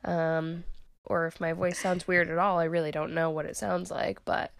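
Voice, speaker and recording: A woman's voice: lowish voice, level tone, normal speed, gravelly. A woman co-hosting a casual podcast, congested from a sinus headache, talking in a chatty, rambling way with lots of fillers. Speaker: podcast co-host. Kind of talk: casual chat.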